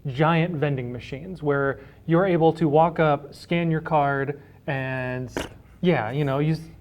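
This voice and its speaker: A man's voice: on the high side, takes it slowly, muffled recording. A man being interviewed casually as a library director, explaining things through everyday hypothetical examples.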